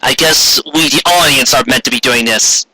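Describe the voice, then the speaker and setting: A man's voice: mid-range voice, normal speed, sharp tone, husky, no room sound, poor audio. A man reading fan fiction aloud in a theatrical, comedic style with an exasperated, hammy manner.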